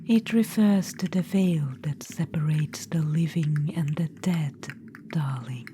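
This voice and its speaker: Smug undead witch-queen whispering close and slow, a lady doing the voice, lowish voice, steady hiss behind. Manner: soft intimate whisper, calls listener darling; smug, boastful, self-satisfied. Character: vain undead witch-queen necromancer, woman, ancient and proud. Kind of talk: ASMR audio roleplay. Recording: whisper with steady background noise.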